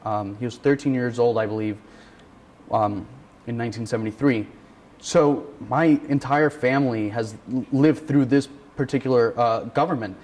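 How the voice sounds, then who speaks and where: A man answering interview questions about a play, speaking thoughtfully with pauses and ums. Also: mid-range voice, darkish tone. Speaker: student director interviewee.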